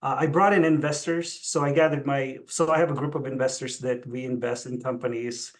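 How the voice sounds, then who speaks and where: A man's voice: mid-range voice, steady tone, a little fast. A middle-aged man from the Philippines, a Tagalog speaker, talking conversationally as an interview guest. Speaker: interview guest, chief executive. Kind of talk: conversational personal account.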